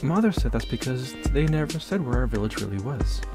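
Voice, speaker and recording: A man performs a comic dub with theatrical delivery. His mid-pitched voice is very animated. Music plays clearly behind him. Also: a little fast. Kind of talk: comic dub narration and character reading. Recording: music under the voice.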